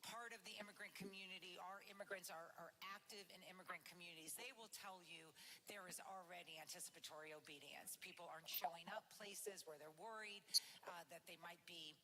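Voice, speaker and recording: Low, bright-toned woman commentator. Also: long reverb tail. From Boston area. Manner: thoughtful, halting with many fillers. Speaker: regular guest commentator. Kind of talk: radio call-in discussion.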